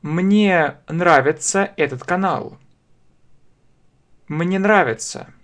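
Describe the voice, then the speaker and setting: A man in his thirties with a Russian accent, teaching a language lesson very slowly, spelling out phrases word by word and repeating them at a desk webcam. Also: sits high, fairly bright tone. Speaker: English teacher. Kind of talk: slow step-by-step language lesson. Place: small room indoors.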